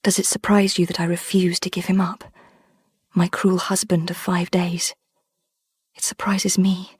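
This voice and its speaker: An actress with a British accent playing a determined, devoted young wife in a radio drama, earnest and resolute. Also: female voice, fairly low pitch, level tone, measured pace, a little mellow, breathy edge, no echo, clean studio sound. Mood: wounded, resolute.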